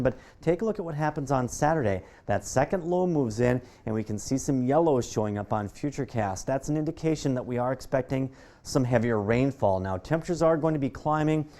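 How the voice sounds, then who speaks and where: An American man works as a television weather forecaster. His voice sits at a medium pitch. He explains conditions informally, in a conversational live-broadcast delivery. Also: darkish tone.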